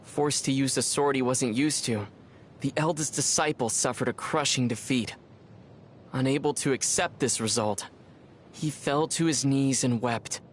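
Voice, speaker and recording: Young game hero played by a man: youthful, medium pitch, measured and thoughtful with gentle wryness. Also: slight background noise, natural voice. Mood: storytelling. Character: young male adventurer hero, calm, thoughtful, gently wry. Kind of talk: video game voice acting. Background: music.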